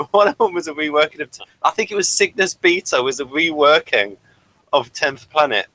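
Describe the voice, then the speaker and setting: A man's voice: fairly high pitch, normal speed, sharp tone. A man hosting a podcast, explaining things in a casual review discussion. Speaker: podcast host.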